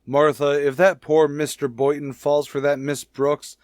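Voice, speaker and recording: An actor playing a grumpy, exasperated middle-aged boss and husband in an old radio sitcom. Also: male voice, fairly high pitch, level tone, unhurried, a little mellow, dry recording, studio quality, natural voice. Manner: exasperated, blustering. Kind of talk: 1950s radio sitcom acting.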